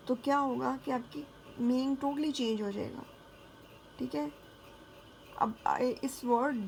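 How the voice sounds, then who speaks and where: A woman teacher giving a lecture, talking casually and checking in with her students, then reading English passages slowly. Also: on the high side, creaky, darkish tone, slight background noise, poor audio. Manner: frequent checking fillers. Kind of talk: classroom lecture mixing Hindi and English.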